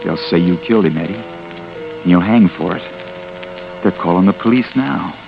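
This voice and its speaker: An actor playing a desperate man who killed by accident, brooding to himself in a hushed inner monologue, in 1940s radio acting. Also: deep voice, normal speed, gravelly. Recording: muffled old radio recording with music.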